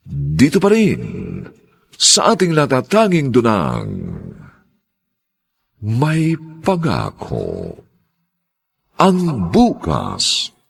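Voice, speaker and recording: A man announcing a radio drama in a theatrical, drawn-out scripted style. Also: mid-range voice, gravelly, a bit bright, breathy edge.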